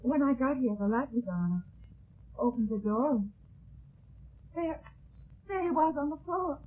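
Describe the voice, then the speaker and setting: An anxious young heiress in a vintage radio mystery. Her voice is husky and melodramatic, catching and stammering as she talks. The old 1950s recording is thin and narrow. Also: high-pitched, expressive, measured pace, exaggerated voice. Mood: frightened, pleading. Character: anxious young heiress suspected of murder, pleading. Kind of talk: 1950s radio detective drama acting.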